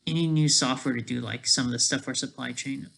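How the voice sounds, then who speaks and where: Rambling, off-the-cuff medium-pitched solo talker. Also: steady tone, fairly quick, creaky, fairly bright tone, rough recording. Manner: tags statements with "right?"; rambling, trails off mid-sentence. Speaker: amateur video creator.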